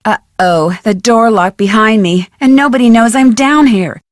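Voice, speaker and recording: A woman's voice: a little high, moderate pace, dry recording, clean studio sound, close to an everyday voice. An actress playing a plucky, curious young American woman detective who thinks aloud in a wry, scripted adventure game style. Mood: worried. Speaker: video game voice actor.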